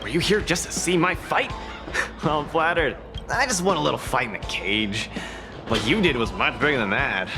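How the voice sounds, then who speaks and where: A cocky young champion fighter from a video game. His delivery swings widely in pitch, brash and enthusiastic, with music playing underneath. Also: animated, normal speed. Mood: cheerful, modest.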